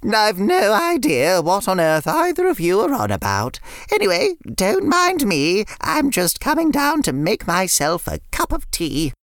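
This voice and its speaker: A woman's voice: mid-range voice, huge pitch swings, unhurried, no room sound, studio quality, pitched above the performer's own voice. Woman doing a sweet fussy old nan. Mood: fond. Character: kindly older grandmother, fond of biscuits.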